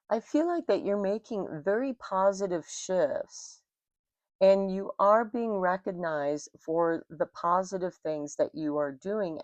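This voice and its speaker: American woman tarot reader, unhurried, gravelly scratchy throat, dry close sound. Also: on the low side, darkish tone, no echo. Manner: slow, soothing, spiritual. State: rough scratchy throat from smoke. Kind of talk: intuitive tarot reading monologue.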